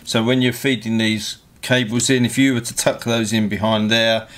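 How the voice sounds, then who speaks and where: A man's voice: mid-range voice, little pitch movement, normal speed, fairly bright tone. A man giving a hands-on product review in a practical, matter-of-fact, explanatory way. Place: indoor motorcycle workshop bench.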